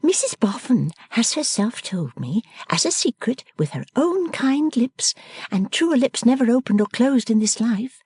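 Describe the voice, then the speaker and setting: Woman doing a high, teasing young woman. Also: very animated, a little slow, darkish tone, slightly rough, no echo, full character voice. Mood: playful teasing. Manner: coaxing, emphatic and theatrical.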